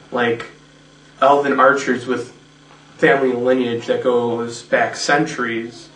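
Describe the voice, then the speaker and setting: A man talks slowly in a flat, level voice, easygoing and offhand. He is recorded from a distance in an echoey room. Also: mid-range voice, slight background noise. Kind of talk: behind-the-scenes casual chat.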